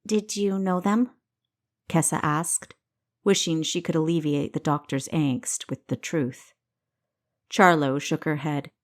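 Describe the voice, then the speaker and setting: Woman narrating an audiobook novel, low voice, takes her time. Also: steady tone, measured pace. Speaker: author reading own audiobook. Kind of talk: scripted fiction narration.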